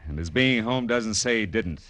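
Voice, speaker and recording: Low-voiced, brusque hard-boiled American detective. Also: male voice, expressive, moderate pace, a bit bright. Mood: brusque, probing. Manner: brusque, slangy, clipped. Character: tough, wisecracking hard-boiled male private detective. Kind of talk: 1940s radio drama acting with first-person narration. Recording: old radio broadcast with music behind.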